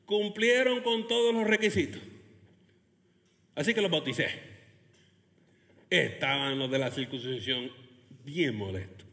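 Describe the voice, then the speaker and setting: A man preaching a lively sermon as pastor, laughing and acting out churchgoers' voices. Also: fairly high pitch, unhurried, pauses often. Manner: animated preaching with laughs and acted quotes of churchgoers. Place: church hall, Texas. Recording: long hall reverb.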